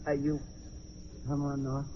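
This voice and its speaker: An actor playing a gravely wounded little man struggling to speak in halting, broken phrases, in 1940s radio style. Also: mid-range voice, completely flat delivery, heavy background noise, lightly acted. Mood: desperate, failing. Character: dying little man, desperate to deliver a warning. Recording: muffled, reverberant vintage radio recording.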